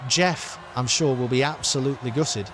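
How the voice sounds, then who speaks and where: A man commentating a sim race and signing off his stream, excitable and rambling, with his voice wearing thin after a long session. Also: medium pitch, normal speed, slight background noise. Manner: excited, rambling, with many uh fillers. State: losing his voice.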